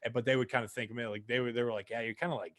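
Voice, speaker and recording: A man's voice: medium pitch, fairly even intonation, racing, dry recording, studio quality. A young man chatting casually as a podcast guest, easygoing and rambling with many restarts. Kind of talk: casual interview chat.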